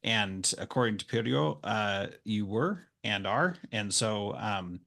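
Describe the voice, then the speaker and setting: A man with a fairly low voice hosts an interview podcast. He talks in a relaxed, chatty way, with frequent fillers and restarts, and keeps the tone friendly. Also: takes it slowly, vocal fry. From United States. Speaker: podcast host. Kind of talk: casual interview chat.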